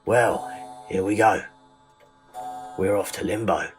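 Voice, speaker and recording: A man's voice: on the low side, slow, soft background music. A man playing a loyal, wry companion who also narrates in an audio drama. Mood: game, agreeable. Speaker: voice actor in an amateur audio drama.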